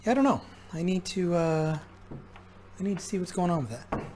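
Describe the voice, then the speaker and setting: A man with a slightly high voice talks through hands-on repair work in a casual way. He muses and pauses as he thinks aloud. There is noticeable background noise on the recording. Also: expressive, warm tone. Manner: musing, halting, thinking aloud. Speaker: repair vlogger. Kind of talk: casual hands-on workbench commentary. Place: indoor repair workshop.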